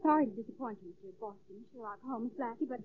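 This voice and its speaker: An actress playing a spirited, urgent young woman in an old radio drama, insistent and quick to retort. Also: a little high, natural voice. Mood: tart. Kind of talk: 1940s radio detective drama acting. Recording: muffled, noisy old radio recording.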